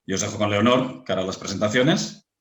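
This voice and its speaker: Deep-voiced man in a roomy echo. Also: a little fast.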